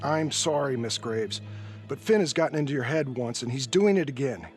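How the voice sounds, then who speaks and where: An actor playing a skeptical judge in a video game, with a folksy, wry and patronizing manner. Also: male voice, mid-range voice, expressive, normal speed, soft background music, lightly acted. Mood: dismissive, firm. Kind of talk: video game cinematic acting.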